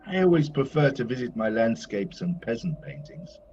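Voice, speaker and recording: A man's voice: mid-range voice, animated, normal speed, dark tone, natural voice. A man playing a chatty, self-satisfied old master painter in an audio play, given to grumbling. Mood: genial, self-important. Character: old Flemish master painter, jovial, fussy, easily irritated. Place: recorded at home during lockdown. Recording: muffled, noisy home recording.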